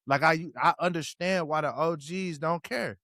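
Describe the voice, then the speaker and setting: A man's voice: a little high, normal speed, pushed and bright, dry recording, clean studio sound. Emphatic, slangy man in casual chat. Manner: emphatic, repeats words, slangy.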